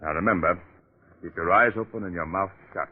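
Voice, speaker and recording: An actor playing a serious, brisk police detective with clipped, commanding delivery in a radio crime drama. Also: male voice, low voice, slight background noise, lightly acted. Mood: brisk, dry. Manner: clipped, commanding, dryly impatient. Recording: tinny, band-limited old radio recording.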